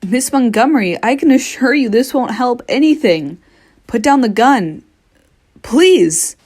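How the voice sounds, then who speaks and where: An actress playing an anxious doctor in a radio play, earnest and pleading. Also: female voice, on the high side, expressive, measured pace, a little mellow. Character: anxious, reasoning doctor facing a gun. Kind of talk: dramatic radio play acting.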